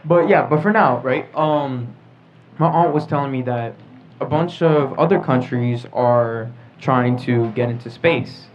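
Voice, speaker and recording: A young man leads a relaxed group chat as moderator. His voice sits at an average pitch. The recording is a bit dull, with noise behind him. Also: unhurried. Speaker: podcast moderator. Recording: muffled audio with clear background noise.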